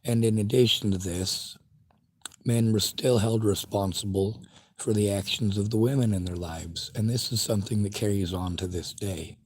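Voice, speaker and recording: A man's voice: lowish voice, fairly even intonation, moderate pace, a little mellow, slight background noise. A man livestreaming, reading viewer chat and speaking bluntly and earnestly in a casual monologue. He has a stutter and a speech impediment.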